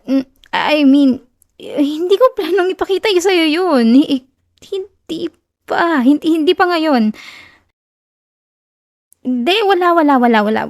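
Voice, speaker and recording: A woman playing a shy, whiny tsundere best friend in an ASMR romance roleplay, with a Filipino accent and a stammering, halting delivery. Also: sits high, gravelly, no echo, clean studio sound, heavily acted. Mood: panicked, whiny denial.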